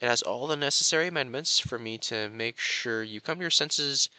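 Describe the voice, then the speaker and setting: Obsessive fantasy prince , played by a male actor: haughty, coaxing audio roleplay, sharp bright tone, close dry sound. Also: mid-range voice, brisk, clean tone, dry recording, rough recording. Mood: hurt, controlling. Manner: pompous, coaxing, theatrical. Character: possessive, haughty cat-shifter prince, sweetly menacing. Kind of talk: dramatic roleplay addressed to the listener.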